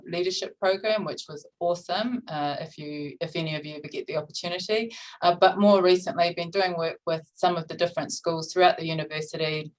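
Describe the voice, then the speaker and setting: A woman's voice: low-pitched, rough recording. A woman introducing herself informally as a judge, hesitant in delivery but enthusiastic. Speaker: competition judge. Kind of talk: informal self-introduction speech.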